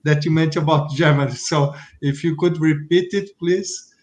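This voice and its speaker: A man's voice: fairly high pitch, fairly even intonation, normal speed, a little mellow. A man from Brazil with a Brazilian Portuguese accent, a native Portuguese speaker and teacher, talking in a casual panel discussion and often restarting as he searches for words.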